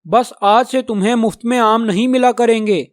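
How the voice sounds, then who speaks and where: A man's voice: extremely high pitch, fairly even intonation, moderate pace, no room sound, studio quality. A man playing a proud, grudging talking mango tree in a children's cartoon, speaking emphatically. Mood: grudging, stern.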